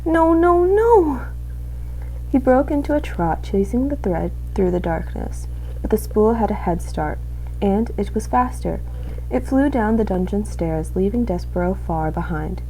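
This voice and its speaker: A young woman reading a children's storybook aloud as an expressive narrator. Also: medium pitch, normal speed, a little hiss or hum, poor audio. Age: young adult. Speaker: student reader.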